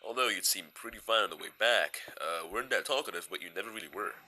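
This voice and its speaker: A man in a fan audio drama playing a gentle, weary, laid-back male skeleton, speaking in a hesitant, casual, understated way. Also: fairly low pitch, animated, fast talker, full character voice, rough recording. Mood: gentle, uneasy.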